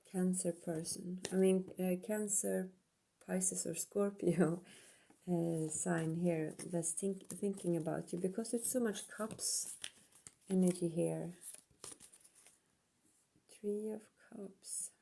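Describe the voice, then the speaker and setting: A woman with a Swedish accent doing a casual tarot reading, hesitant and full of pauses, speaking English as a second language. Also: on the low side, creaky, warm tone. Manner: hesitant, many ums and pauses. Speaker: tarot reader. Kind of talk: slow casual card reading.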